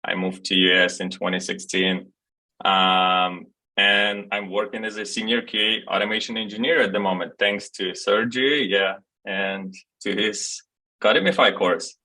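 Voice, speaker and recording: A man from Ukraine chats on a video call. His voice is husky and bright, with a sharp edge at a lowish pitch. He talks in a laid-back, joking way. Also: fairly even intonation, moderate pace, rough recording. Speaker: guest, QA automation engineer. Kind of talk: casual interview chat.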